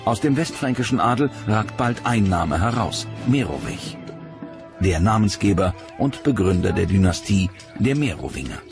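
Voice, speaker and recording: Low-pitched male documentary narrator over music. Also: sing-song, moderate pace, vocal fry. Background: music under the narration.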